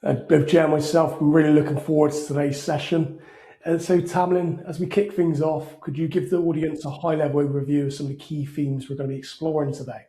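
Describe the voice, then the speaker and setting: A man co-hosting a podcast asks friendly, open questions in a mellow, fairly high voice. His voice rings in an echoing room. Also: little pitch movement, a little fast. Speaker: podcast co-host. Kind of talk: conversational interviewing. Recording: echoey room.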